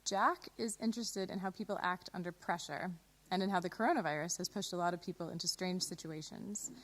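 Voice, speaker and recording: A woman's voice: fairly low pitch, brisk, fairly bright tone. A woman hosting a live broadcast, introducing radio plays. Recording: clear background noise and ambience.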